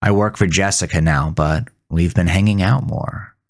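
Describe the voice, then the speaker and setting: A man playing a haunted, bitter farmer who narrates his horror story in a grave, brooding, gravelly voice. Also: low voice, fairly even intonation, normal speed. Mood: wistful, calmer. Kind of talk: scripted first-person horror narration.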